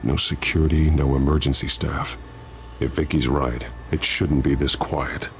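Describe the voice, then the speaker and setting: A man playing a grim masked vigilante detective in a video game, with a gravelly growl and a terse, stern, deliberate delivery. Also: a bass voice, steady tone, slight background noise. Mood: grim, focused.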